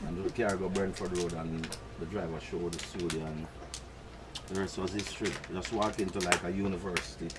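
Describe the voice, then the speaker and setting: A man's voice: deep voice, fairly even intonation, fairly quick, very noisy. An older man with a Jamaican accent, telling rambling stories in patois at a press conference. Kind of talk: relaxed storytelling.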